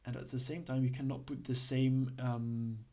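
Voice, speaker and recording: Hesitant man, flat voice. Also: medium pitch, normal speed. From Belgium. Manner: hesitant, many fillers and restarts. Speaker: instructor. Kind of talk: casual explanatory lesson.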